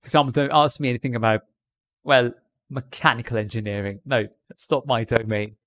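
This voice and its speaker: A man's voice: medium pitch, fairly even intonation, fairly quick, fairly bright tone. A man doing a put-on British accent, chatting on a live stream from India and giving rambling, jokey tips.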